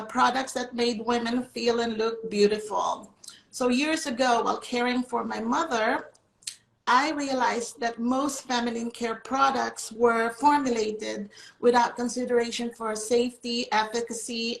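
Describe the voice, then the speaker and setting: A woman in her fifties, raised speaking Filipino, talks in a slightly high voice with a gravelly, fry-tinged edge. Her story comes through a video call from a roomy, echoing space. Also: fairly even intonation, a little slow. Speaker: beauty chemist, brand co-founder. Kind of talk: panel discussion.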